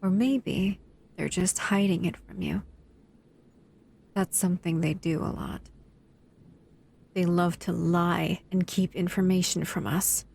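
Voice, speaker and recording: A woman voicing a bored, predatory female humanoid monster who talks in a slow, teasing, condescending way in an audio roleplay. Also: fairly low pitch, steady tone, creaky, a little mellow, slight background noise. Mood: explaining.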